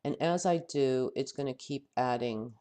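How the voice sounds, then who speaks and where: Man, head cold, voice wearing thin, software tutorial, thinking aloud, halting, mid-pitched. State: head cold, losing his voice. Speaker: software instructor. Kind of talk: screen-recorded software tutorial.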